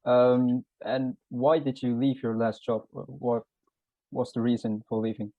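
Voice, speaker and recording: A man conducting a casual job interview, relaxed and informal, with frequent fillers. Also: mid-range voice, unhurried, dry recording. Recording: dry, dull lo-fi audio.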